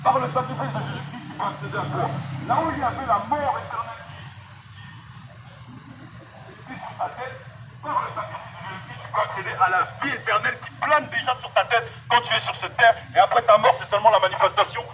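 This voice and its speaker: A man delivers a fervent outdoor sermon in a high-pitched voice. He speaks through a megaphone, repeating key phrases emphatically as he addresses people passing by. The street around him is clearly noisy, with vehicles behind his voice. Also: fast. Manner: exhortatory, emphatic, repetitive, addressing passers-by directly. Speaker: street evangelist.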